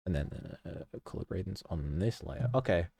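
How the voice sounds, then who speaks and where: A man's voice: sits low, animated, moderate pace, creaky, dark tone, slightly rough, dry recording, studio quality. A man with a stuffy, slightly sick voice, rambling through a casual behind-the-scenes talk about his video edits, often stopping himself mid-sentence and chuckling.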